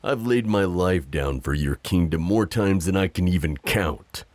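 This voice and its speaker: A man playing a loyal, teasing, overprotective knight in an improvised romantic audio roleplay, speaking tenderly and courteously with a gravelly voice. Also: sits low, unhurried, no echo. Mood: tender, concerned.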